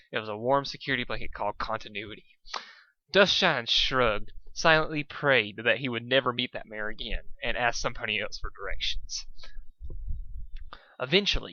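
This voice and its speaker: Male amateur story reader, informal with off-the-cuff asides, forceful bright tone, muffled dry home recording. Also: mid-range voice, no room sound. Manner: stumbles over words and comments on the text.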